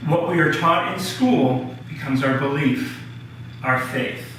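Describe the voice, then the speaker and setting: A man's voice: fairly high pitch, takes it slowly, heavy background noise. A man playing a grandiose showman presenting an orientation video in a radio drama, theatrical and presenterly. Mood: preachy. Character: grandiose male showman addressing viewers on orientation film. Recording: echoing, distant playback over loud music. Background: loud music.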